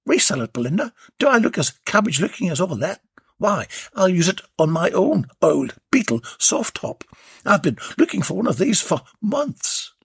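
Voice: medium pitch, big pitch swings, normal speed, gravelly, breathy edge, no echo, clean studio sound, pitched above the performer's own voice, exaggerated voice. A storyteller voicing a jolly, cheeky male rubbish remover who banters and teases. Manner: chirpy, teasing banter.

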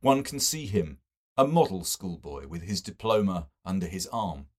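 A man's voice: deep voice, expressive, moderate pace. A man reading a novel and voicing a censorious older literary man with a sedate, mocking, superior manner. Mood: sedate, teasing.

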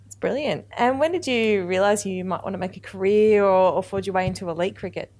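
A woman's voice: mid-range voice, a little fast, a little mellow. A woman interviewing a guest in a casual, encouraging way. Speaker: podcast interviewer.

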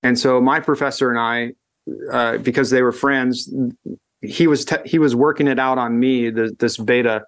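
A man speaks in a relaxed, conversational way, working out his ideas aloud. His sentences often stall and restart while he searches for the right word. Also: medium pitch. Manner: thoughtful, halting with frequent restarts. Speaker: guest educator. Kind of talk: casual conversation.

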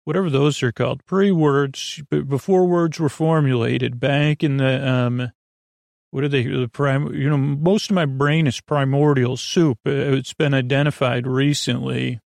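A man with a creaky voice hosting a sleep podcast, rambling in a lulling, soothing way with halting tangents and word fragments. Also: mid-range voice, steady tone, darkish tone, dry recording, studio quality. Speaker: podcast host, bedtime storyteller. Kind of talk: meandering bedtime monologue for a sleep podcast.

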